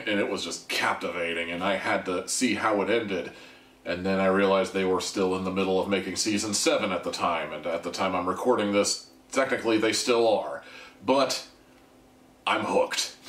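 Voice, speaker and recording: A man giving a casual, candid review monologue to listeners, with conversational asides. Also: low voice. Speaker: audio drama reviewer. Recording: echoey room, distant microphone.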